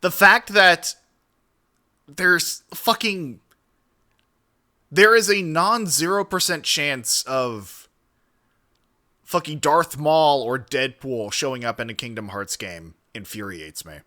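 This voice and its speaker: A man's voice: fairly high pitch, slow, pauses often, sharp tone. A man hosting a livestream, giving casual, opinionated reaction commentary in a sardonic, profane way. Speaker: livestream host reacting to a video.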